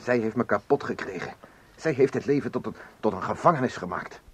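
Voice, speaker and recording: Low, husky, broken man. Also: expressive, a little fast, a bit bright, heavily acted. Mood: despairing. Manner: defeated, self-reproaching. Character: broken, despairing man mourning his ruined life's work. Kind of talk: Dutch radio drama acting.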